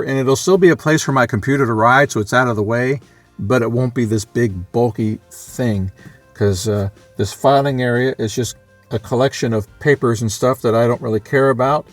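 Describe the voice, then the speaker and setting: Male vlogger, middle-pitched, unscripted and matter-of-fact, thinking out loud in a dry recording. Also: no room sound. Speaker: vlogger, amateur radio hobbyist. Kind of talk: casual unscripted vlog talk.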